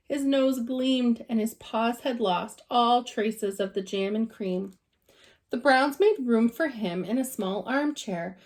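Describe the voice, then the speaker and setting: A woman teacher reading a children's storybook aloud with expression. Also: a little high.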